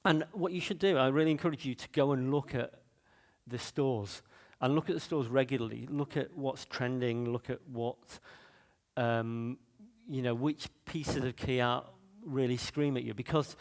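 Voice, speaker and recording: A man from Manchester with a Manchester accent, speaking at a conference in a mid-pitched voice. His delivery is loose and conversational, full of ums, restarts and asides as he gives his talk. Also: a little mellow, long reverb tail. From Manchester, England. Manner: loose, chatty delivery with many fillers and restarts.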